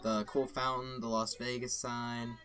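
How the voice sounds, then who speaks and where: A man's voice: medium pitch, level tone, measured pace, a crowd in the background. Rambling teenage boy co-hosting a podcast. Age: teens. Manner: rambling, repeats words when starting sentences. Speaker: podcast co-host. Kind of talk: casual chat among friends.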